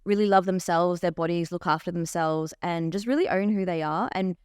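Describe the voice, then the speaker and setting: A woman's voice: on the low side, brisk, clear, resonant tone, dry recording, studio quality. A young woman, a coach telling her story openly in a podcast interview. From Australia. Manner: open, animated storytelling. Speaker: life coach guest.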